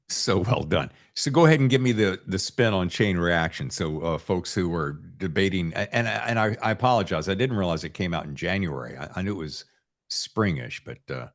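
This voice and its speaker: A low-pitched male podcast host talks in a loose, folksy style. He is effusive and tends to ramble as he works toward his questions in a relaxed interview chat. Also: normal speed.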